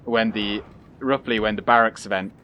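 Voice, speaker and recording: A young man giving calm, casual commentary over his own gameplay, soft-spoken and pausing often with fillers. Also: lowish voice, slight background noise. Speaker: gaming channel host.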